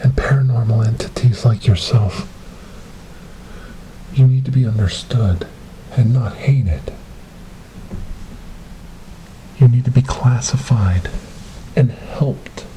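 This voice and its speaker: A man playing a calm, hypnotic government paranormal investigator in a whispered ASMR horror role-play, speaking softly, breathily and deliberately. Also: mid-range voice, lightly acted. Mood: earnest, reassuring. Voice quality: soft, breathy, often dropping into whisper. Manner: hushed, deliberate, repetitive, hypnotic. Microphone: close binaural microphone. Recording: noisy close binaural recording.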